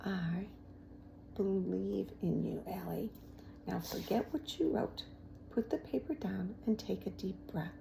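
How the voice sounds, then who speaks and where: A woman voicing a kind, encouraging male schoolteacher in a storybook, gentle and lightly teasing. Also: medium pitch, measured pace, creaky, noisy background. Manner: gentle, reassuring.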